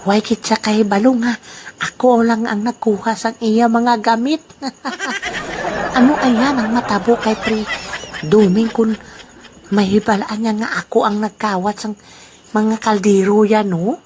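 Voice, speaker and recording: A woman's voice: a little high, fairly even intonation, unhurried, background noise, lightly acted. A woman voicing a scheming old man, a drinking buddy who chuckles and laughs often, in an amateur radio play. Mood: friendly, sly. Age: over 60.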